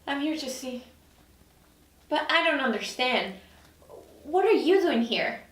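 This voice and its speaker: An incredulous, indignant newcomer played by a woman speaks her dramedy lines in a high, very lively voice that swings around in pitch. She is recorded from a distance in a roomy space with faint noise behind. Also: unhurried. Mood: shocked, confused. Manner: incredulous, expressive. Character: indignant, bewildered newly dead woman in hell. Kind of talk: table read of a TV dramedy script. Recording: echoey room, distant mic, faint noise.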